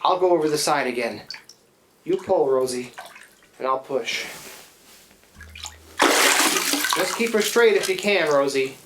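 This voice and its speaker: A man acting a rough, echoey riverboat man. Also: a little high, lively intonation, normal speed, close to an everyday voice. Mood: weary, frustrated. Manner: folksy, colloquial, emphatic. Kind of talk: live radio play acting. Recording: echoey live stage, clear background noise.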